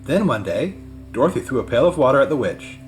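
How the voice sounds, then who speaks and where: A man reading a children's story aloud as scripted narration, in an expressive way. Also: mid-range voice, fast. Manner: expressive read-aloud delivery. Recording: music behind the voice.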